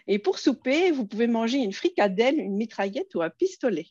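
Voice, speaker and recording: A woman's voice: fairly high pitch, big pitch swings, fairly quick, darkish tone, breathy edge, dry recording. Belgian French woman, dull call audio. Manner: hesitant, frequent fillers, friendly. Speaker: presenter. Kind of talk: online workshop presentation.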